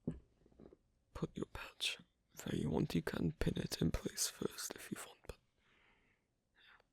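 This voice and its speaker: An actor voicing an asocial, awkward but patient werewolf in an ASMR roleplay, speaking softly in halting, clipped phrases with a breathy, gravelly voice. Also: close to an everyday voice. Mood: shyly teasing, gentle. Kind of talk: ASMR roleplay, soft close speech.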